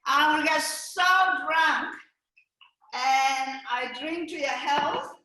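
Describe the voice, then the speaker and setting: A woman's voice: sits high, takes it slowly, bright, forceful tone. An older woman giving a playful, joking cooking demonstration over a video call. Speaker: guest cook. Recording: echoey room with clear background noise.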